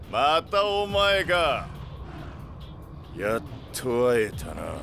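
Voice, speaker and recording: Gloating yakuza villain: slow, swooping delivery, sadistic, taunting, theatrical, video game drama. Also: male voice, on the high side, creaky, bright, forceful tone, exaggerated voice. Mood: gleeful, taunting. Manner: mocking, drawling, theatrical. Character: gloating sadistic gangster boss, greedy and taunting.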